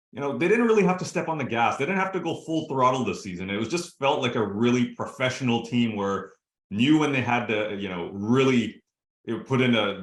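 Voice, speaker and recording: A man's voice: medium pitch, reverberant. A man, a sports show host asking long, eager, rambling interview questions.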